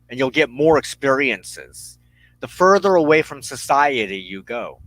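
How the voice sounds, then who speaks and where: A man hosting a personal spiritual talk, speaking in deliberate, halting phrases with firm emphasis. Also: mid-range voice, a bit bright, no echo, poor audio. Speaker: host of a weekly witchcraft broadcast.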